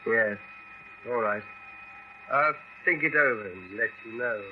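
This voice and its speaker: A man playing a proud, pensive academic philosopher in a radio drama, speaking in a hesitant, deliberate way. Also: mid-range voice, close to an everyday voice. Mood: pondering. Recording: dull, slightly noisy vintage radio recording.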